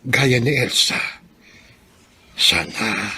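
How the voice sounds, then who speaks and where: Humble elderly Filipino father, bright voice. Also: mid-range voice, very slow, breathy edge, full character voice. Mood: wistful, gentle. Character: remorseful, humble elderly father seeking forgiveness. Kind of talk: Filipino radio drama acting.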